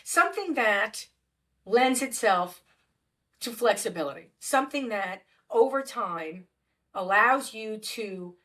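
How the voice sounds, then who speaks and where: A woman's voice: a little high, lively intonation, slow, fairly bright tone. A woman hosting a podcast interview in a casual way, who often stops and searches for her words, speaking over a video call. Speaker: podcast host.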